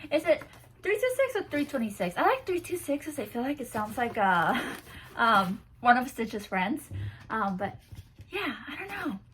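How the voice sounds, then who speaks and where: A woman's voice: on the high side, big pitch swings, moderate pace, fairly bright tone, a little hiss or hum. A woman chatting casually to camera as she unboxes a gift box, bubbly and giggly, seated on a bouncy ball at home. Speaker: vlogger unboxing a swap box.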